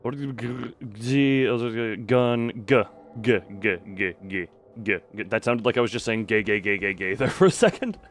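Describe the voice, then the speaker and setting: A man giving casual live game commentary, halting and wry as he thinks aloud. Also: lowish voice, expressive, slight background noise. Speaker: game streamer. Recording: faint game music behind.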